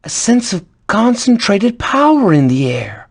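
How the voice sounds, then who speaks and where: A cocky, animated spirit messenger. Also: female voice, mid-range voice, measured pace, husky, full character voice. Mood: upbeat, encouraging. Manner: sassy, theatrical. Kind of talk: fantasy game voice acting.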